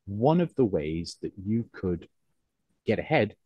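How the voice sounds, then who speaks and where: A low-pitched man speaks in a soft Cork accent that has been neutralised toward English. He is thoughtful and hesitant, rephrasing as he goes in a reflective interview. The recording is dry, with very little room around the voice. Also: very animated, unhurried, slightly rough, no echo, highs cut off. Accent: soft, neutralised Cork Irish, closer to English. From Cork, Ireland. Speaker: interviewed writer.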